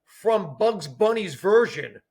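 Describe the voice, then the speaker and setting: A man's voice: sits high, animated, takes it slowly, creaky, darkish tone. A man in his fifties from New Jersey, raised speaking Italian and Sicilian dialect first, telling stories as a comedian guest in casual chat with wry, punchy asides. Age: 50s. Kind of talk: casual conversational storytelling.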